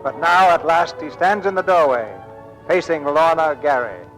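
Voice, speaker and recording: American old-time radio narrator male actor: dramatic, higher-set voice, soft music underneath. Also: moderate pace, close to an everyday voice, poor audio. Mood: solemn, dramatic.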